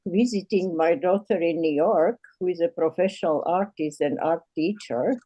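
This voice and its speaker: Mellow older woman with Slovak accent. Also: lowish voice, dry recording, rough recording. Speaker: self-taught artist. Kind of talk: personal storytelling.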